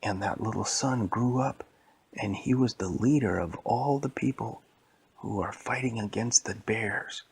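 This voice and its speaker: A man's voice: on the low side, a little slow, slight background noise, poor audio. A man voicing an earnest man from the future in a bedtime story, delivering insistent warnings. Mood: urgent.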